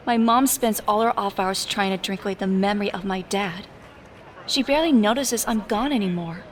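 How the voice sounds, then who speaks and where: An actress playing a determined but wistful teenage superhero in an audio drama, speaking casually and plainly. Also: female voice, mid-range voice, moderate pace, lightly acted. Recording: slightly distant, faint noise.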